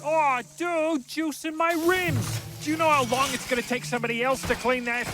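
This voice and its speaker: Very high-pitched whiny cartoon snail. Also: male voice, steady tone, normal speed. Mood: annoyed whining. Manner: complaining, goofy, slangy. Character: whiny, wisecracking young male cartoon snail sidekick. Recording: music behind.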